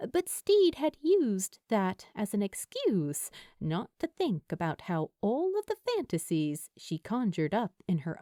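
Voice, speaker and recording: Female story narrator, slow pace, lively intonation, dry close sound. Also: medium pitch, dry recording. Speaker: audiobook-style fan fiction narrator.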